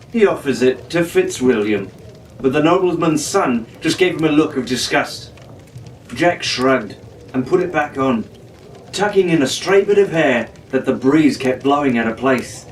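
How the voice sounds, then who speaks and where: A man narrating a pirate adventure story in a theatrical, in-character way, as a storybook reader. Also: medium pitch. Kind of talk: scripted dramatic narration reading a children's pirate novel. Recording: roomy, distant mic with faint noise.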